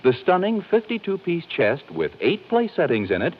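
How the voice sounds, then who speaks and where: A man's voice: fairly high pitch, wildly swooping pitch, normal speed. An American man working as a polished, salesmanlike announcer on 1940s radio. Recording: dull old radio recording.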